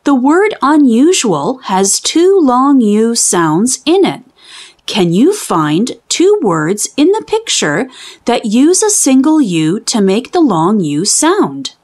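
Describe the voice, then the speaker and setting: A woman reads aloud for young children in a fairly high voice with very lively swings of pitch. She takes her time, enunciating each phrase deliberately like someone teaching early readers. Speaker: children's read-aloud host. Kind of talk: expressive storybook reading for young children.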